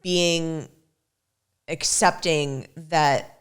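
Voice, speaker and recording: A woman's voice: low-pitched, steady tone, extremely slow, smooth. A woman hosting a casual podcast, talking frankly, swearing freely and prompting her partner. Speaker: podcast host.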